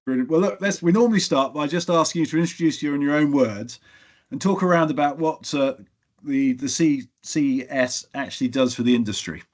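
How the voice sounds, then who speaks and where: A man's voice: fairly high pitch, a little fast. Middle-aged man hosting a podcast. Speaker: podcast host. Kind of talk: interview hosting.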